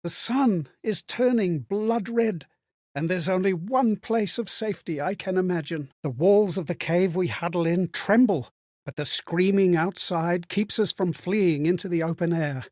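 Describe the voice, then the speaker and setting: A courteous lost spirit from a fantasy video game, steeped in melancholy. His voice sits a little high and sounds dry and close. He delivers dramatic monologues with measured, theatrical reflection. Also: a little mellow, no room sound. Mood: anguished. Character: melancholy, courteous lost male spirit reliving strangers' memories.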